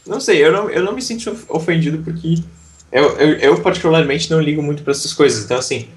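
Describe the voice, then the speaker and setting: A man's voice: on the high side, fast. A man from southern Brazil, an English teacher, talking reflectively in a casual interview with frequent restarts.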